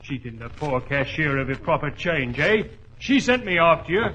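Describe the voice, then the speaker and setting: High-voiced, blustering village constable. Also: male voice, very animated, moderate pace, sharp tone. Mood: indignant. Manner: blustering, scoffing, colloquial. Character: blustering, stubborn, dim village constable, a man. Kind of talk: 1940s radio acting. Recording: noisy vintage 1940s radio recording.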